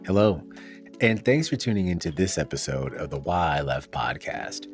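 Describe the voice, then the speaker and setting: A man's voice: deep voice, expressive, moderate pace, faint music behind. A man hosting an interview podcast, talking in an easygoing way with casual fillers. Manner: easygoing, uses fillers like "you know". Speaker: podcast host. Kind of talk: conversational interview.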